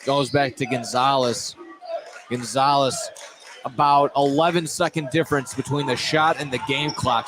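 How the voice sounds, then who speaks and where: A man doing excited, wordy live play-by-play of a high school basketball game with dramatic phrasing. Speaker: play-by-play commentator. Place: indoor school field house. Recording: noisy live gym broadcast. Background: loud crowd and gym noise.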